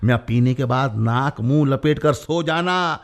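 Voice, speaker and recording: An actor playing a bossy, jovial friend who insistently and chattily explains home cures in a radio play. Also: male voice, medium pitch, measured pace, natural voice. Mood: bossy, reassuring. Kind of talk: Hindi-Urdu radio play acting.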